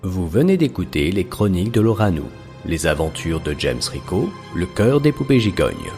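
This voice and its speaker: Low, lively male announcer over music. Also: moderate pace. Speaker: announcer reading credits. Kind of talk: scripted credits narration. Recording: music behind the voice.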